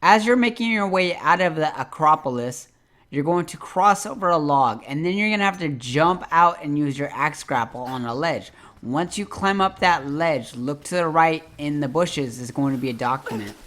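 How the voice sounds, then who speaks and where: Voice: mid-range voice, animated, normal speed, a bit bright. A host narrating a casual step-by-step video game guide.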